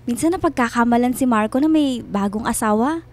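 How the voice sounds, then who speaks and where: An actress playing a chirpy, ingratiating and subtly possessive family friend in a radio drama, with a breezy, over-sweet manner. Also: female voice, a little high, a little fast, close to an everyday voice. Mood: sweet, reassuring.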